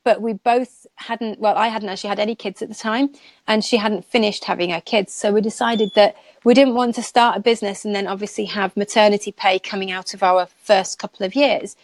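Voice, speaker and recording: A woman's voice: mid-range voice, no room sound. A chatty woman, a nail technician, tells her story in a loose, rambling way with frequent laughs. The sound is dry and close, with almost no room. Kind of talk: casual live chat.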